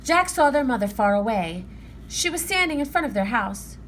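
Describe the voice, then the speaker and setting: Animated, bright-voiced woman reading a storybook. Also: a little high, moderate pace, a little hiss or hum. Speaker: storybook narrator. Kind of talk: expressive children's read-aloud narration.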